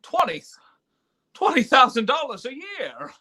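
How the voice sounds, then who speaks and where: A man's voice: extremely high pitch, wildly swooping pitch, measured pace, pushed and bright, breathy edge, exaggerated voice. A man acting as an earnest, hesitant young small-town man in a radio play. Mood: astonished.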